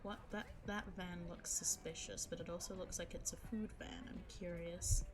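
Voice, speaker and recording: A young woman streamer chatting casually with viewers, giggling and teasing herself, her voice a little low for a woman and her throat sore. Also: fairly even intonation, moderate pace. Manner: chatty, giggly, self-mocking. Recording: music playing behind the voice.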